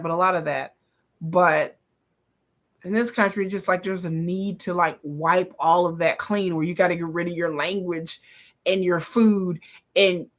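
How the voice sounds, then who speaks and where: A woman's voice: medium pitch, fairly bright tone. A woman from the northern United States, talking in a blunt, rambling, profane monologue as host of a commentary channel. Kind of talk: casual opinion monologue.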